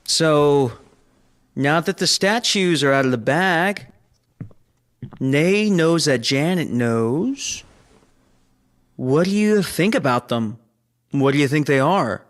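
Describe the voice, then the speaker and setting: An actor playing a chatty, teasing colleague on a space station in a sci-fi audio drama, casual and inquisitive. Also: male voice, fairly high pitch, expressive, takes it slowly, pauses often. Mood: curious, teasing.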